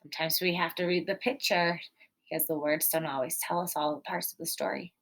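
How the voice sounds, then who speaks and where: Expressive woman teacher reading aloud. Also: on the low side, a little fast. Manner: expressive, explanatory, addresses young children. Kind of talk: storybook read-aloud with guiding questions.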